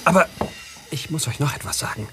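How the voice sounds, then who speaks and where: An actor playing an earnest, anxious young foreign envoy in a German audio drama. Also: male voice, fairly high pitch, expressive, brisk, noisy background, natural voice. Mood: earnest explaining.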